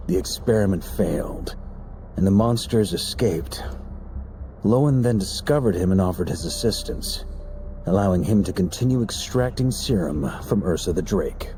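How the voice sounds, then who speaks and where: An actor playing a composed investigator who explains events in a measured, matter-of-fact way. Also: male voice, low-pitched, dark tone, a little hiss or hum, natural voice. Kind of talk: game cutscene voice acting.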